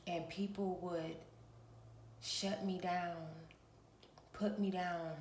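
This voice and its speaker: A woman hosting her own channel, talking in a relaxed early-morning vlog with a raspy voice and a preachy manner, often clearing her throat. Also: on the low side, very slow, roomy sound, a little hiss or hum. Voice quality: gravelly. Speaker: spiritual reader and channel host.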